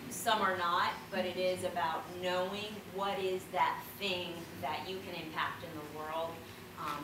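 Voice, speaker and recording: A middle-aged woman giving a reflective, informal answer as a panelist. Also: medium pitch. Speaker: panelist, nonprofit worker. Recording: very echoey hall, distant mic, noisy.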